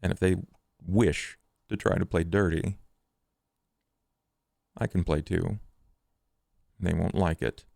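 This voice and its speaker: A menacing vampire, played by a man in a tabletop game, speaks in a very deep, creaky growl. He is formal and deliberate, calculating yet grimly protective, recorded dry and close. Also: fairly even intonation, darkish tone, no room sound, exaggerated voice. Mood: grim, assured. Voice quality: gravelly.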